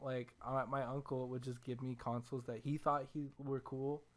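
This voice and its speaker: A young man hosting a casual podcast chat about video games, telling stories in an animated, self-deprecating way. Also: medium pitch, normal speed. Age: young adult. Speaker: podcast host.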